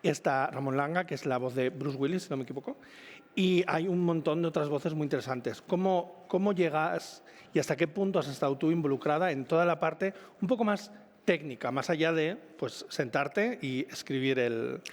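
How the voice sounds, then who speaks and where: A man's voice: on the high side, moderate pace. A man with a Spanish accent from Spain hosts an informal interview, rambling and correcting himself as he goes. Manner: rambling, enthusiastic, self-correcting. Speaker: event presenter and interviewer. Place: indoor launch event venue. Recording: reverberant event hall.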